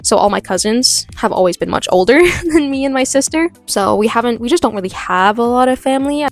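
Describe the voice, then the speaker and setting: A woman's voice: a little high, lively intonation, brisk. A young woman with an American accent, talking casually and chattily about her drawing and holiday traditions in an art vlog voice-over. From born in the US, raised in Norway. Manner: chatty, laughs often, rambling asides. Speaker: art channel host. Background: soft background music.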